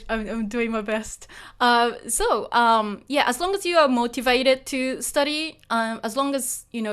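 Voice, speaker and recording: A woman's voice: fairly high pitch, fairly bright tone, smooth. A Japanese woman teaching Japanese in English with a Japanese accent, answering a live chat, hesitant and apologetic with lots of fillers.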